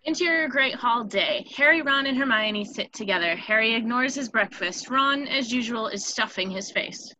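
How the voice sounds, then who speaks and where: Female stage-directions narrator: fairly high, bright, forceful scripted delivery. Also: animated, moderate pace, few pauses, dull audio, a little hiss or hum.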